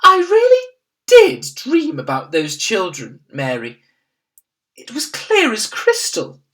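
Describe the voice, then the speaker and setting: A woman's voice: fairly high pitch, wildly swooping pitch, takes it slowly, far from the mic, pitched above the performer's own voice, heavily acted. A woman voicing an elderly, upper-class queen who is refined and easily alarmed, speaking in a posh, exclaiming manner. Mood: pensive.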